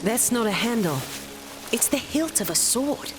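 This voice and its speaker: A woman playing a cool, sharp-tongued and sceptical treasure hunter in an audio drama, with sardonic delivery. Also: a little high, animated, measured pace, over music. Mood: intrigued.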